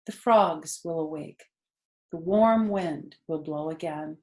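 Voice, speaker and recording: A woman voicing a tired, wise, motherly female spider in a children's storybook, speaking calmly and gently in a measured way. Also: sits low, reverberant. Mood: tired, peaceful. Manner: calm, gentle, measured, matter-of-fact. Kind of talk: children's storybook character reading.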